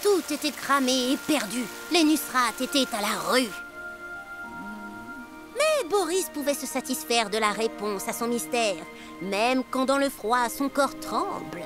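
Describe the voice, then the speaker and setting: A woman voicing an excitable, mischievous young boy who tells rhymed tales theatrically in a cartoon. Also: high-pitched, measured pace, music in the background. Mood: theatrical storytelling. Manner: theatrical, cheeky, sing-song rhyming. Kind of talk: animated cartoon dub, rhymed storytelling.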